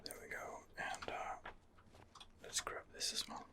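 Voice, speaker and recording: A man quietly narrating his role-play game in a breathy ASMR whisper, musing and rambling hesitantly, close to the microphone. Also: unhurried. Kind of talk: ASMR gaming commentary.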